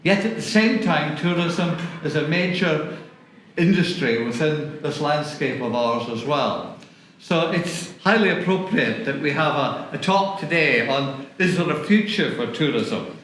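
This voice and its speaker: A man's voice: a little high, expressive, measured pace, a bit bright. A man hosting an event, introducing the next speaker in a slightly hesitant way. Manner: hesitant, with fillers. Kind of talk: formal introduction. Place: event hall. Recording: echoey hall with faint noise.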